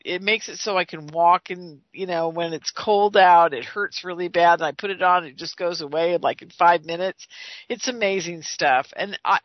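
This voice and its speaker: A woman's voice: mid-range voice, no room sound, highs cut off. A woman in her late sixties hosting a radio show, making informal, emphatic and repetitive appeals to listeners in a chatty way. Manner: chatty, emphatic, repeats herself. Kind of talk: informal host-read sponsor and donation appeal.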